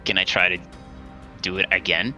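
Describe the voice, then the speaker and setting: A man playing a tabletop roleplaying game, chatting casually in a laid-back, wryly resigned way. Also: low voice.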